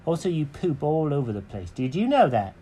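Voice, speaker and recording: A man's voice: a little high, sing-song, brisk, dark tone, breathy edge. A man voicing a chatty, vain male cat in a children's story, full of dramatic questions and exclamations. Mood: indignant.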